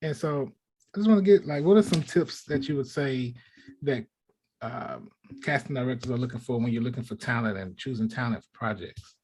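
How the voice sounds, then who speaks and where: A man's voice: mid-range voice, a little fast, darkish tone. A man hosting a casual interview, asking questions over a noisy recording. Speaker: show host and interviewer. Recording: clearly noisy line with clicks.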